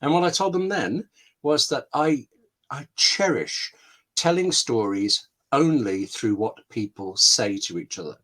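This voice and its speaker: A man's voice: mid-range voice, expressive, a little slow, fairly bright tone. A man speaking casually as an interview guest, enthusiastic and often interrupting himself mid-thought. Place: online video call.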